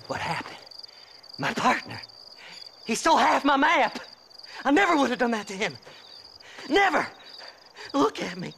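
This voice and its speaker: Half-crazed western game hermit, paranoid, erratic, very high and swooping. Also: male voice, huge pitch swings, measured pace, pauses often, sharp tone, slight background noise, exaggerated voice. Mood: manic, aggrieved. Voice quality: gravelly, creaky. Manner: agitated, erratic, rambling. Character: half-mad, paranoid, unwashed treasure-hunting loner. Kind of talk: video game western acting.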